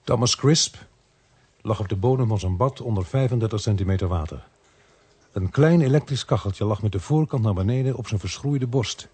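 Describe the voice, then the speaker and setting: An actor playing a sober detective who narrates a radio play in a measured, deadpan way. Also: male voice, fairly low pitch, slightly rough, no room sound. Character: sober male detective narrating his case.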